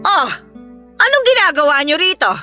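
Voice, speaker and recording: A woman playing a bitter, sharp-tongued betrayed older wife in a Filipino radio drama, scornful, taunting and emphatic. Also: very high voice, big pitch swings, moderate pace, faint music behind, heavily acted. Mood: bitter indignant.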